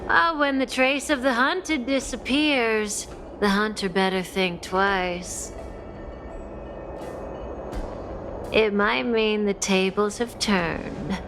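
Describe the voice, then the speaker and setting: A woman's voice: medium pitch, slow pace, over music. An actress voicing a cool, coy, enigmatic woman outlaw in a video game, languid and amused. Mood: teasing, calm.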